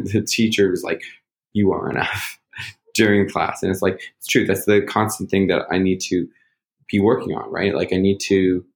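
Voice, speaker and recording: A man in casual conversation, talking through his feelings in a rambling, self-searching way with lots of fillers. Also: deep voice. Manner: loud at times. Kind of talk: casual reflective chat.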